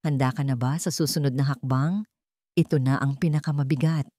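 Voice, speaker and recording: Woman voicing a calm, grave male lawyer, dark-toned. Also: very deep voice, dry recording, clean studio sound. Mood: grave concern. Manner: measured, calm explaining.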